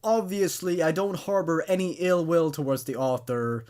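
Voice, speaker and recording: A man hosting a horror story show, giving expressive, opinionated but apologetic commentary and often stammering and repeating words. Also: fairly high pitch, moderate pace. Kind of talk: reading and reviewing amateur horror stories.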